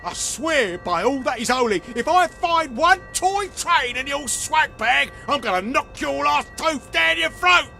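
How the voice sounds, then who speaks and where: Thug: man, raspy creak, forceful and high, threatening, foul-mouthed, short-tempered game villain. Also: expressive, unhurried, hardly any pauses, soft background music, exaggerated voice. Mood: furious. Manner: shouty, threatening. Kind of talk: video game voice acting.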